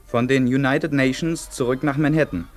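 Male narrator, middle pitch, scripted radio feature, linking narration, faint music bed. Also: fairly even intonation, moderate pace.

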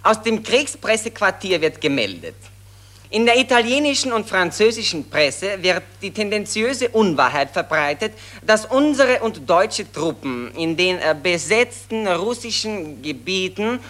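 A man's voice: sits high, big pitch swings, unhurried, a bit bright, full character voice. An actor playing a chatty male patriot in a radio play, reading news aloud in a chummy, drawling way with hesitant fillers.

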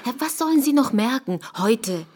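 Husky, cool, calculating confidante. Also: female voice, fairly high pitch, expressive, moderate pace, a little mellow. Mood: cool, reassuring. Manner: controlled, persuasive. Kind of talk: intimate radio drama dialogue.

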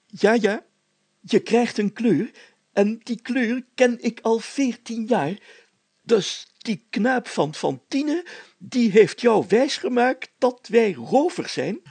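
A man's voice: extremely high pitch, expressive, gravelly, a little mellow, husky, no room sound, pitched above the performer's own voice, heavily acted. A man voicing a pompous, fatherly and easily flustered village mayor in a storybook, stammering and drawing out his phrases. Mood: amused. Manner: stammering, deliberate, drawn-out phrasing.

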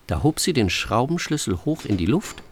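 An actor playing a measured, vivid storybook narrator in a radio drama. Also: male voice, medium pitch, very animated, a little hiss or hum, natural voice. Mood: narrating.

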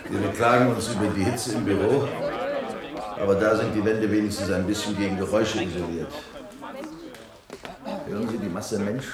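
Low-voiced, weary, pessimistic clerk played by a man. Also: steady tone, moderate pace, long pauses, over a noisy crowd, lightly acted. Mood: bitter, weary. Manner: resigned, dry, matter-of-fact. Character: weary, pessimistic male office clerk in an overcrowded future. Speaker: actor in a science-fiction radio play. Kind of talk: German radio drama acting.

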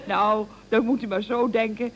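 An actress playing a cheeky, dry-witted office receptionist in radio comedy, with a mock-formal, teasing manner. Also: female voice, on the high side, steady tone, moderate pace, natural voice. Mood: mock-polite, teasing. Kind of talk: 1970s Dutch radio comedy acting. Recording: dull old radio recording.

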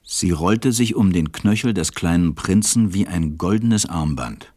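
A man playing a reflective storyteller who confides in children, narrating a radio play in a measured way. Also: low voice, lively intonation, dark tone, dry recording, clean studio sound.

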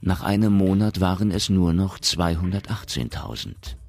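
The sober narrator of a science fiction radio play. His voice is extremely low and gravelly, and he tells the story in a measured, matter-of-fact way over music. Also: a little mellow. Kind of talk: scripted radio drama narration.

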